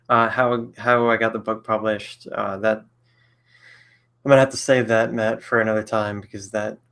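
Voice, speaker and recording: A man's voice: on the low side, vocal fry, a bit bright. A man in his late twenties answering viewer questions on a casual live stream, rambling and hesitant with many fillers and frequent chuckles. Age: 20s. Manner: rambling, hesitant, lots of fillers and self-corrections; chuckles often between thoughts.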